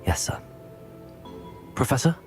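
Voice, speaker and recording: A man's voice: takes it slowly, faint music behind, natural voice. A man voicing a polite, earnest young student in a game cutscene.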